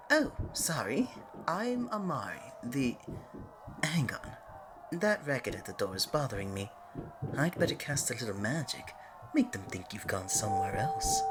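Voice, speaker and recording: A woman voicing a flirty, affectionate god of love in a playful, coaxing ASMR romance roleplay, with a breathy delivery. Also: very deep voice, background noise, heavily acted. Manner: coaxing, playful, pet names like sweetie and honey.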